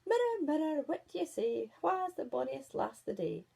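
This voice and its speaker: Woman putting on a shrill, vain Scottish fairy-tale Queen. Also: big pitch swings, moderate pace, gravelly, dark tone, full character voice. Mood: demanding. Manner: imperious, demanding.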